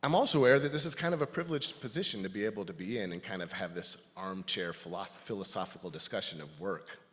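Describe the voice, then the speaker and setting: A man giving a personal, reflective talk to a congregation as a lay speaker, in a thoughtful, halting manner. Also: low-pitched, a bit bright. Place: large indoor church space. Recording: reverberant hall sound.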